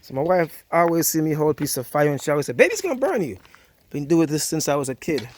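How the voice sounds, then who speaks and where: A man's voice: a little high, sing-song, fast, no echo. A man with a Jamaican accent hosting a casual cooking vlog outdoors by a wood fire, chatty and easygoing, often saying "yeah, man".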